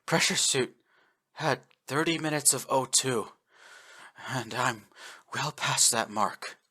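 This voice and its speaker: A man voicing a dying, raspy old admiral. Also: mid-range voice, voice pushed up. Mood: resigned. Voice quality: raspy, soft and plodding. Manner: slow, halting, quiet, breaking off mid-sentence. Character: aging male admiral, dying, fading over comms.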